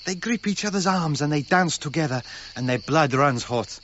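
A man's voice: on the high side, animated, moderate pace, a bit bright. An actor playing a deferential yet passionate Cretan foreman with a Greek accent in a radio drama. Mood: tipsy, exuberant. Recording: dull lo-fi radio recording, faint noise.

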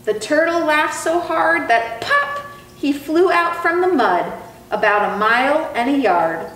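High, animated young woman reading a storybook. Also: distant mic. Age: young adult. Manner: deliberate, sing-song. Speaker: zoo educator and storytime host. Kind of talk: expressive children's storybook reading. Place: indoor room, reading through a face mask. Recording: roomy sound with faint background noise.

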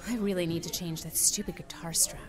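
A woman's voice: on the low side, fairly even intonation, brisk, darkish tone, a faint crowd, lightly acted. A woman playing a friendly, easygoing cafe worker in a naturalistic audio drama. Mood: friendly, casual.

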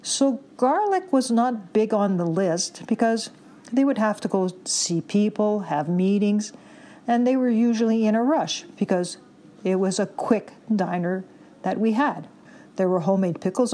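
A woman's voice: medium pitch, darkish tone. A middle-aged Canadian woman telling a chatty personal anecdote from her youth. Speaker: listener contributor.

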